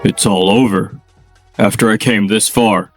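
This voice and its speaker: An actor playing an arrogant, bossy middle-aged company president in a manga dub. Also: male voice, on the low side, steady tone, measured pace, faint music behind. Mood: shaken. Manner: bossy, domineering.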